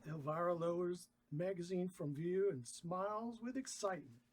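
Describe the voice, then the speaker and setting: Male table-read narrator, notably high-pitched voice, reading scene directions in a casual way. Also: normal speed. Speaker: actor reading stage directions.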